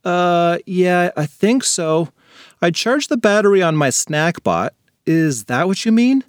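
A man's voice: high voice, sing-song, measured pace, no echo, clean studio sound, voice pushed up. A man reading a children's story, voicing a lazy, cheeky boy who loves TV.

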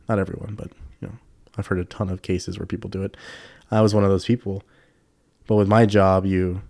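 A man's voice: sits low, a little mellow, no echo, studio quality. A young man with a slightly congested voice, hosting a solo podcast in a loose, candid, rambling way with plenty of fillers and the odd swear word. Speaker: podcast host. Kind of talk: casual solo podcast chat.

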